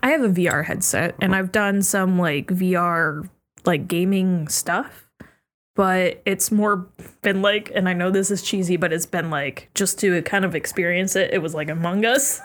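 A woman's voice: on the low side, steady tone, normal speed. A young woman chatting casually as a podcast guest, breaking into giggles between phrases. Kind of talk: casual chat. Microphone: headphones and podcast mic.

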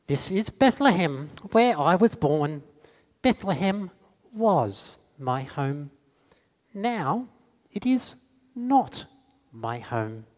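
A man's voice: a little high, big pitch swings, drawn-out words, pauses often, in a large hall, voice pushed up, heavily acted. A man voicing an earnest young refugee boy telling his story in simple sentences, in a raised voice. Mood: wistful.

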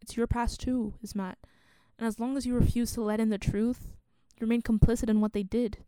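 An actress playing a solemn, resolute woman in a radio play, speaking in a measured, earnest way. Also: fairly high pitch, flat delivery, warm tone, clear, resonant tone, dry recording, natural voice. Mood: grave, insistent. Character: solemn, resolute woman confessing a long-hidden identity and grief.

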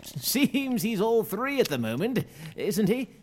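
An actor playing an eccentric, reckless wizard mentor who laughs often and speaks grandly. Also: male voice, sits high, big pitch swings, slow pace, exaggerated voice. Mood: gleeful. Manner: theatrical, giggly, grandiose. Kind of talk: fantasy audio drama acting.

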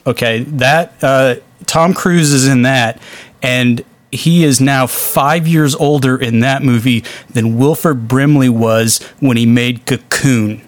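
A man's voice: mid-range voice, slow, dry recording. A man hosting a podcast in casual, joking conversation. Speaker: film discussion podcast host. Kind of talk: casual chat.

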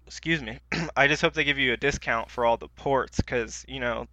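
A man's voice: medium pitch, normal speed, pushed and bright, no room sound, rough recording. A man co-hosting a podcast in casual, informal chat with slangy wording. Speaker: podcast co-host.

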